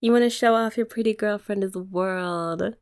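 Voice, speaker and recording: A young woman in an ASMR girlfriend roleplay, talking softly and teasingly to the listener. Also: medium pitch, normal speed, clear, resonant tone. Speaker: ASMR creator.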